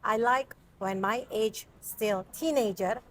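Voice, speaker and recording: Indonesian woman with a higher voice. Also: slow pace. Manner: explains plainly with examples, often adds "right?". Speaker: guest, language specialist. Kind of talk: casual interview.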